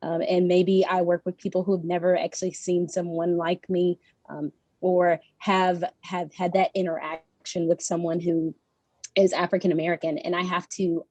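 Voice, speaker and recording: Low, mellow woman on a panel call. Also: dry recording. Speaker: panelist, Army National Guard servicemember. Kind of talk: panel discussion.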